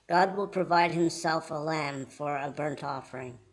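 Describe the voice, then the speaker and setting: A man's voice: fairly high pitch, moderate pace, natural voice. Man reading Abraham, an earnest faithful father. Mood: calm assurance.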